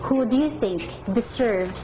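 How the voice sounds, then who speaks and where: A woman's voice: fairly high pitch, moderate pace, noisy background. A woman coaching pageant contestants in a firm, instructive way. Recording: echoey tinny lo-fi broadcast with loud music.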